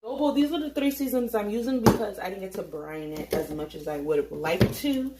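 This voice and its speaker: A woman talks her way through a late-night cooking session in her home kitchen, joking and swearing casually as she works. She sounds tired but chatty. The room is echoey, with clear background noise under her voice. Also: mid-range voice, animated, fairly quick. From Haitian family. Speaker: home cook vlogger. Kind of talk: casual cooking vlog chat.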